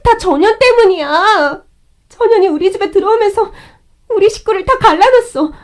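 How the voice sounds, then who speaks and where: A woman's voice: very high voice, animated, normal speed. A woman voicing a young, manipulative sister-in-law who whines and pleads. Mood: pleading. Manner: whiny, pleading.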